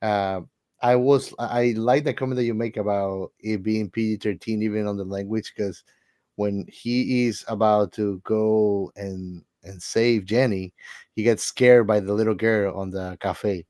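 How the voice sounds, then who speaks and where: A man's voice: lowish voice, fairly even intonation, a little slow, dark tone, smooth, no echo. A man co-hosting a casual film chat, talking in an informal, jokey way. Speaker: podcast co-host.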